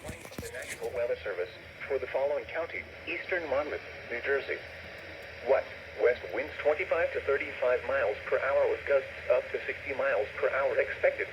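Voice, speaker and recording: Muffled, noisy synthetic male weather radio announcer. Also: medium pitch. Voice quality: synthetic text-to-speech voice. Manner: flat, measured, impersonal delivery. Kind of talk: formal scripted weather warning bulletin. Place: radio receiver playback of a broadcast.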